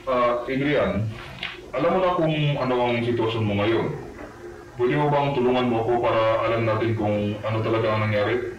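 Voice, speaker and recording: In an echoey room with faint noise, a man plays a stern police chief in an analog horror tape. He probes a suspect with pointed questions at an ordinary male pitch. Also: a little fast, lo-fi sound, close to an everyday voice. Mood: probing. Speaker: police interrogator. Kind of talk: amateur analog horror audio acting.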